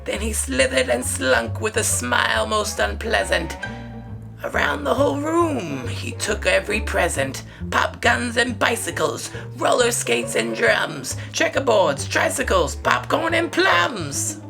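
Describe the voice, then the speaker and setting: A woman's voice: fairly high pitch, big pitch swings, measured pace, vocal fry, music in the background. A woman reading a children's story aloud in a theatrical, playful way, with comic asides. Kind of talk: animated read-aloud of a children's picture book.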